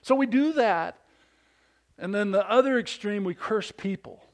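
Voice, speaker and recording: A man in his fifties preaching a sermon to fathers, with a big, animated delivery that calls for amens from the congregation. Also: high-pitched, unhurried. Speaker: pastor.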